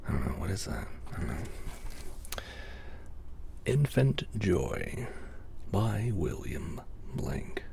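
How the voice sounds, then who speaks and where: A man reading bedtime stories in soft-spoken ASMR style, slow and breathy, with wry casual asides. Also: very animated, slight background noise. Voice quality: deep, soft, breathy near-whisper. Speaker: ASMR reader.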